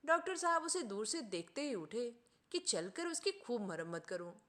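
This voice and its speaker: A woman reading a literary short story aloud as an expressive audiobook narrator. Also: a little high, moderate pace.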